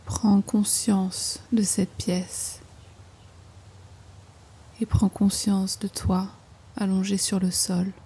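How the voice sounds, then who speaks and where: A woman guiding a yoga nidra relaxation in slow instructions, with hushed, breathy phrase endings and an unhurried manner. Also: medium pitch, little pitch movement. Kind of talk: guided meditation, slow soothing instruction.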